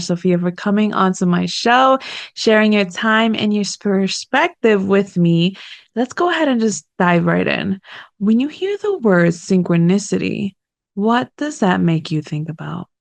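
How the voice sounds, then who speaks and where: A woman's voice: medium pitch, creaky, no echo. A woman hosting an interview show with an upbeat, welcoming manner. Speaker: podcast host. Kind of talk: casual interview.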